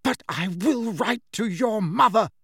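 A man voicing a bossy, righteous teenage schoolgirl with a forceful, scolding manner in an audiobook. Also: fairly high pitch, sing-song, moderate pace, slightly rough, no room sound, clean studio sound, pitched above the performer's own voice, exaggerated voice. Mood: angry, grim.

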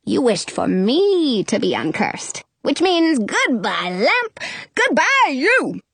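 A woman voicing an obnoxious old male genie in a storybook, with a theatrical, excitable manner. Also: sits high, takes it slowly, dry recording, voice pushed up, exaggerated voice. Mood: gleeful, triumphant. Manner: gruff, sarcastic, theatrical exclamations. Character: grumpy, obnoxious old male genie turning gushingly joyful.

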